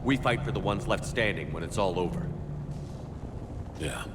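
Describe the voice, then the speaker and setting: A man acting a battle-hardened, resolute soldier in a video game, steady and grim. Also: sits low, moderate pace. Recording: loud noise behind the voice.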